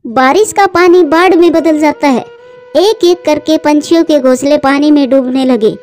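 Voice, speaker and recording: A woman's voice: sits high, moderate pace, darkish tone, faint music behind. A woman narrating a scripted children's animal fable as a storyteller.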